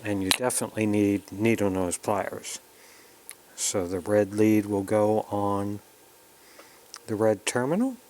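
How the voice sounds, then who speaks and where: A man's voice: lowish voice, a little slow, pauses often, a little mellow. A man narrating a casual hands-on electronics tutorial, plainly explaining each step as he works. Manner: plain, explanatory, talking through each step while working. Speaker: host of an electronics kit build tutorial.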